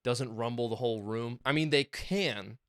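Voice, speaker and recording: A young man co-hosting a podcast, talking excitedly and swearing a lot as he rambles through stories about mixing music in his small home studio. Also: mid-range voice, normal speed, clear, resonant tone, dry recording. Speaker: podcast co-host and music producer. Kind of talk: casual chat.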